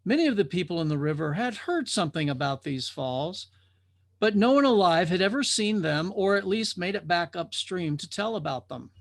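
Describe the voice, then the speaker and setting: A man narrating a scripted parable as a storyteller, with measured, deliberate phrasing. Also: sits high, sing-song, no echo, clean studio sound.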